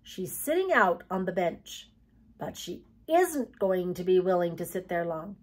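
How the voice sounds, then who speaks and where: A woman reader plays an earnest, worried storybook girl. Her delivery is urgent and pleading, with lively pitch and a slightly husky tone. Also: measured pace.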